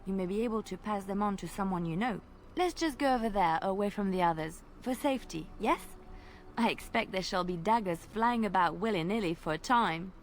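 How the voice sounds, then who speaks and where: A woman voicing a graceful female bard in a video game, telling tales in a measured, lilting way. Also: medium pitch, a little hiss or hum, close to an everyday voice. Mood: storytelling. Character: graceful female bard, gentle devout storyteller. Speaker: game character. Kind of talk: voiced game dialogue.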